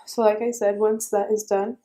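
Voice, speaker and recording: Young female beauty vlogger, raspy, warm low-brightness tone, casual chatty tutorial delivery. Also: flat delivery, moderate pace. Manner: chatty, self-correcting, friendly advice.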